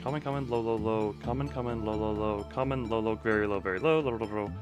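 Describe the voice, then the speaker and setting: A man's voice: lowish voice, quick pace, lo-fi sound, over music. A man giving casual livestream commentary on a game, rambling and breaking off his own sentences with wry asides. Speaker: video game streamer.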